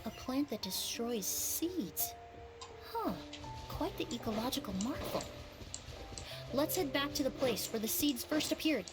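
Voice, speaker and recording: An actress playing a composed, observant young woman in a video game, speaking in a measured, reflective way. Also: high voice, lively intonation, over music. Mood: musing, curious.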